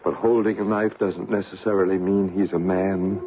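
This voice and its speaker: An actor playing a calm, authoritative paranormal investigator in a vintage radio drama, speaking in a measured, probing way. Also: male voice, deep voice, close to an everyday voice. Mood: calm, instructive. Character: calm, authoritative male paranormal investigator narrating his case. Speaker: psychic investigator and narrator. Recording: noisy, narrow-band old radio broadcast.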